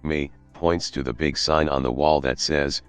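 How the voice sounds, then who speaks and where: Really low voiced guy reading out a reddit story, steady and even, soft music behind. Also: unhurried, clear, resonant tone. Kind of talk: scripted story narration. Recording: faint background music.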